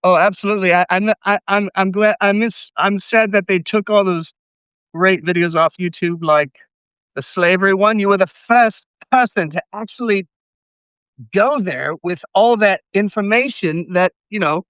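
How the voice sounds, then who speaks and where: A male caller puts on a British accent in a high, smooth voice. He stammers and corrects himself quickly. The sound is dry. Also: a bit bright, dry recording, poor audio. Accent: put-on British.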